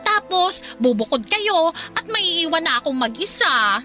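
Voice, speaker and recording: A woman's voice: very high-pitched, big pitch swings, measured pace, pushed and bright, soft background music, exaggerated voice. A woman with a Filipino accent playing a needy, plaintive middle-aged mother in a radio drama. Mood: pleading, self-pitying. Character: needy middle-aged mother, self-pitying, afraid of loneliness.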